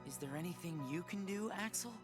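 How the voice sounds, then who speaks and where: A man's voice: high voice, expressive, a little fast. A man playing an earnest, naive, caring teenage boy in a video game, often questioning. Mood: concerned. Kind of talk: English video game dub acting. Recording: loud game music behind.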